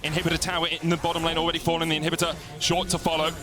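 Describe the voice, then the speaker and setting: A man casting esports play-by-play, rattling off the action and building excitement on big plays. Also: a little high, rapid-fire. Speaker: play-by-play caster. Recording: loud game music behind.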